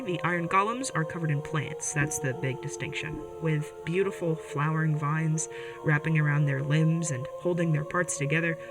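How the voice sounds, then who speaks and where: A woman playing an earnest, caring older sibling who hosts a community radio show in an audio drama, chatty and a little hesitant. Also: low-pitched. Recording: music bed behind the voice.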